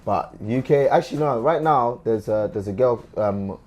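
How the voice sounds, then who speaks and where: A man's voice: medium pitch, normal speed, darkish tone. A man from Sudan, a comedian, chatting casually on a podcast, wandering off his point and checking in with "you know what I mean". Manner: rambling, loses his thread, keeps asking "do you know what I mean".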